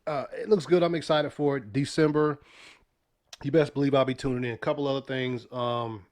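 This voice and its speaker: A man's voice: medium pitch, fairly even intonation, brisk, darkish tone. A young man with a sniffly voice from sinus trouble, hosting a casual weekly news podcast in a loose, slangy way with lots of fillers. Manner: laid-back, loose slang, many fillers and restarts. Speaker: podcast host.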